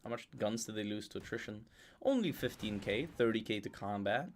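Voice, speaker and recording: A man's voice: on the low side, lively intonation, brisk, a little hiss or hum. A man giving casual live commentary on a strategy game, thinking aloud as he goes.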